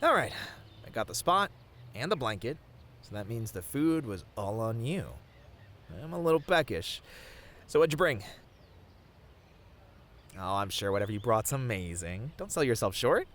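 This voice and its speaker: Man acting sweet bashful boyfriend, lively pitch. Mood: appreciative, happy. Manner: tender, flustered, halting, with soft chuckles. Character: sweet, bashful, rambling boyfriend on a picnic date. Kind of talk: romantic ASMR roleplay acting.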